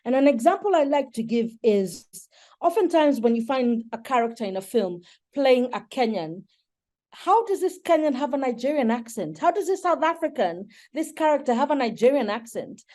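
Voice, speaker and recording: A woman's voice: high-pitched, very animated, moderate pace, mellow. A woman giving an explanatory webinar presentation as a guest speaker, articulate and persuasive. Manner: articulate, persuasive, poses rhetorical questions. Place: online webinar.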